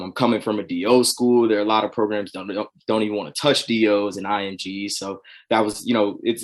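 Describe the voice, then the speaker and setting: A young man with a somewhat low voice talks over a video call. He is earnest and reflective and often fills pauses with "you know". He speaks as a student panelist.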